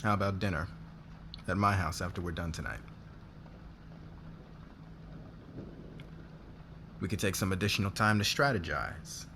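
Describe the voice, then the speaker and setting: Man acting a very low-voiced, possessive boss. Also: expressive, fast, noisy background. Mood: smug, teasing. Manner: commanding, smug, intimate, swearing. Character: demanding, possessive male boss, flirtatious and guarded. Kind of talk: ASMR roleplay acting.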